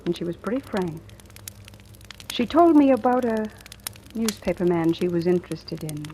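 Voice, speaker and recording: A woman's voice: mid-range voice, dark tone, a little hiss or hum, close to an everyday voice, poor audio. An actress playing a guarded, probing woman telling her suspense story in old-time radio drama style. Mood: probing, challenging. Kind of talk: 1940s-50s radio drama acting. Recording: old radio recording, music behind.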